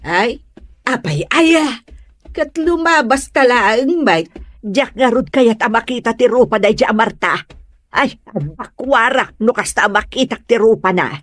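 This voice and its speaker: A woman's voice: fairly high pitch, animated, a little slow, fairly bright tone, dry recording. A woman acting a stubborn, grudge-holding mother of a grown son in an Ilocano comedy drama. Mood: spiteful, gossipy.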